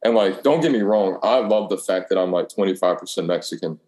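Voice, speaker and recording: Male, low register, slangy and sweary storyteller who plays out both sides of conversations, roomy echo. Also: brisk, darkish tone. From Alabama, United States. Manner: profane, slangy, acts out dialogue. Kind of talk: casual chat, telling rambling anecdotes. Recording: echoey room.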